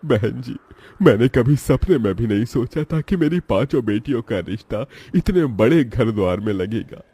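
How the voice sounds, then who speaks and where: Man voicing a humble, mellow old village father. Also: mid-range voice, lively intonation, moderate pace, breathy edge, dry recording, pitched above the performer's own voice. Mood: grateful, humble. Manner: pleading, earnest.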